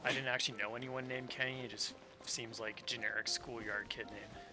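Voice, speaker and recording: A man's voice: mid-range voice, steady tone, brisk. Casual male game streamer, music behind. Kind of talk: casual chat during livestream.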